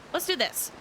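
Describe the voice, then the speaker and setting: A woman livestreaming a game, chatting casually with viewers in a bubbly, expressive way as she thinks aloud. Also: a little hiss or hum, low-quality recording. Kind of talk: casual gameplay chat with viewers. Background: game music.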